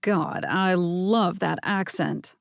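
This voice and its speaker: A woman voicing a blunt, gossipy young woman who speaks slowly. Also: on the low side, gravelly, no echo, studio quality.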